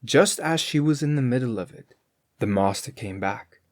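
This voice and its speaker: A storyteller reading a scripted fairy tale in an expressive, measured way. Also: medium pitch.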